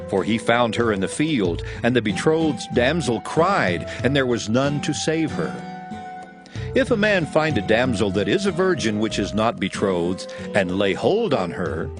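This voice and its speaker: A man reading scripture aloud as a narrator, solemn and measured, in a dramatized style. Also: medium pitch. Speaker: Bible narrator.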